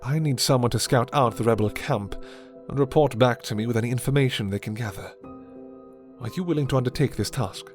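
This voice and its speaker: A man's voice: medium pitch, a little fast, faint music behind, close to an everyday voice. A man playing a commanding vampire lord who is tender toward his guest. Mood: regal. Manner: regal, imperious.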